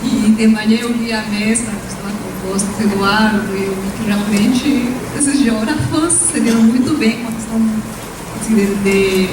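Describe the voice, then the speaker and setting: Peruvian woman lecturing in an echoey hall. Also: medium pitch. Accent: Peruvian Spanish. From Peru. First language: Spanish. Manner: formal, measured lecturing with Spanish-tinged hesitations. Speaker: invited speaker, professor. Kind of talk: academic conference presentation. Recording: echoey hall from far off, faint noise.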